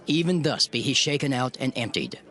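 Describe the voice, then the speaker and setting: A man narrating a dramatized King James Bible reading in a solemn, measured way. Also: medium pitch, lively intonation, fairly bright tone. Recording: narration over clear music bed.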